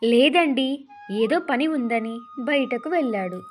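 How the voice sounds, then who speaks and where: Casting: actress as soft-spoken village wife and mother, fairly high-pitched, gently pleading, children's cartoon. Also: lively intonation, slow, faint music behind. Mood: gentle pleading. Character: gentle village mother defending her son to her husband. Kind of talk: Telugu children's cartoon dialogue.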